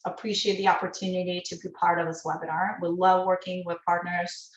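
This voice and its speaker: A woman from Lithuania with a Lithuanian accent, presenting a business webinar in earnest, halting English with self-corrections. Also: lowish voice, level tone, reverberant, poor audio.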